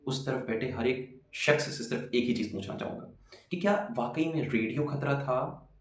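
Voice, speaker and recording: Suspenseful male storyteller in a roomy echo. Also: medium pitch. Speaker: video narrator. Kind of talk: dramatic storytelling narration. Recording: roomy echo, music behind.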